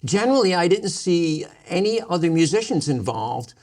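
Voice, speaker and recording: A man's voice: sits high, big pitch swings, moderate pace, a little mellow. A man hosting and interviewing a guest on a community television show. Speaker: musician. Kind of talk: public-access TV interview.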